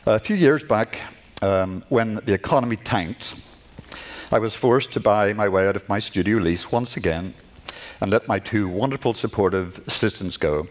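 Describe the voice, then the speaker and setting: A middle-aged man with a Northern Irish accent, giving a personal lecture from his notes, nervous and halting, with restarts and self-deprecating asides. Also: lowish voice. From Northern Ireland. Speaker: guest lecturer. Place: lecture hall at a conference.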